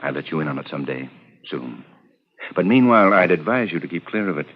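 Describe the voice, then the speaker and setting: An actor playing a suave, sinister, jealous scientist in a 1940s radio drama, with a silky, insinuating, theatrical delivery. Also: male voice, a bass voice, animated, fast. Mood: sly, insinuating. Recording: muffled, slightly noisy old radio recording.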